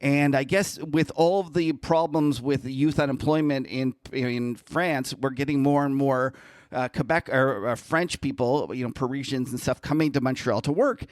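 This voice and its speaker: A man co-hosting a casual interview podcast, talking in an easygoing, halting way that often restarts sentences. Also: medium pitch, no echo.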